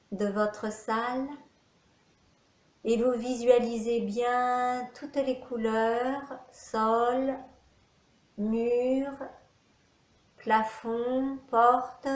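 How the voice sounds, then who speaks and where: A woman leads a guided meditation as a yoga nidra teacher. She speaks extremely slowly, in measured instructional phrases separated by pauses. Her voice sits a little high, and the recording has a clearly echoey room sound.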